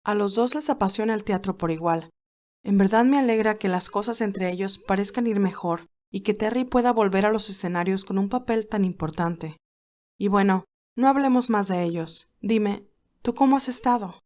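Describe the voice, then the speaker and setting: A woman voicing a tender, sincere, nostalgic young woman in a dramatized romantic story, speaking earnestly and gently. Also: medium pitch, normal speed, natural voice. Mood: warm, sincere. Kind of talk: dramatized romantic fan fiction.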